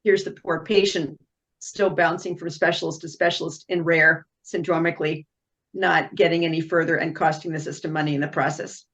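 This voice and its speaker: A woman's voice: sits low, fairly even intonation, moderate pace, a bit bright. A woman speaking as a panelist over a video call, thoughtful and candid with a wry edge. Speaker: panelist, genetics expert. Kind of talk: panel discussion remarks.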